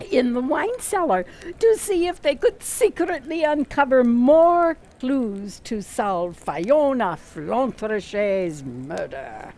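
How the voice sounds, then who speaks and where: A woman narrating a radio play as theatrical storytelling, with dramatic, emphatic pauses on key words. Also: medium pitch, darkish tone. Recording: faint background noise.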